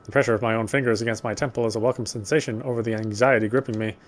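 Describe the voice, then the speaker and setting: A man reading a horror game story aloud, with expressive, lingering narration. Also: mid-range voice, rapid-fire. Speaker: let's player reading a visual novel aloud.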